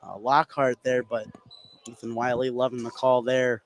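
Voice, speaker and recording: A man's voice: medium pitch, level tone, normal speed, heavy background noise. A man giving live analysis as the color commentator on a basketball radio broadcast.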